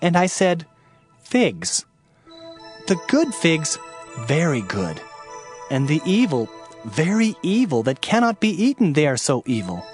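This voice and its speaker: A man's voice: a little high, wildly swooping pitch, a little slow, long pauses, a little mellow, faint music behind. A man playing a solemn Hebrew prophet in a dramatized scripture reading, declamatory and emphatic.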